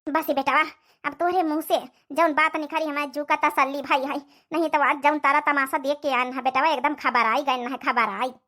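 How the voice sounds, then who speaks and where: A woman's voice: sits high, steady tone, normal speed, pushed and bright. A woman voicing a fretful, talkative cartoon village mother-in-law with a rural Awadhi accent and dramatic, repetitive chatter. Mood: anxious relief. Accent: Awadhi, rural north India. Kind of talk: cartoon comedy dialogue.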